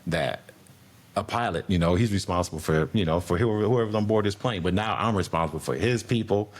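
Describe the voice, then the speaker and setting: A man's voice: sits low, a little fast. A middle-aged man, a podcast guest, talking in a laid-back, rambling way with many restarts and fillers. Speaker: podcast guest, air traffic controller. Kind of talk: casual conversational interview.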